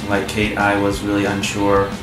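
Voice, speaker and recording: A young American man talks about himself in a fairly low voice. He sounds distant from the mic in a roomy space, with guitar music clearly behind him. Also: monotone, normal speed. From Virginia, United States. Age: 20s. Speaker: service program intern. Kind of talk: unscripted personal testimonial.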